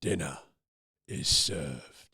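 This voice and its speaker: An actor playing a creepy, solemn castle butler in a comedy horror radio play, speaking in an ominous, formal, gravelly drawl. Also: male voice, very deep voice, heavy background noise, full character voice. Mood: formal, sinister. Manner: ominous, formal, drawn-out delivery. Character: creepy, solemn male castle butler serving a strange Count.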